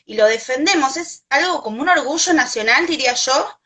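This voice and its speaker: An Argentine woman talking through an idea in casual conversation, her voice bright and a touch high. She pauses often with fillers and restarts, sounding like a Spanish teacher explaining to a colleague. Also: lively intonation. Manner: thoughtful, many fillers and restarts.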